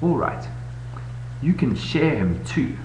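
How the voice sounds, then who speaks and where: A man's voice: mid-range voice, sing-song, slow, a little mellow, voice pushed up. A man voicing a glum, stubborn young schoolboy in a children's storybook, with short, matter-of-fact replies. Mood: possessive. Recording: echoey room, noisy webcam audio with hum.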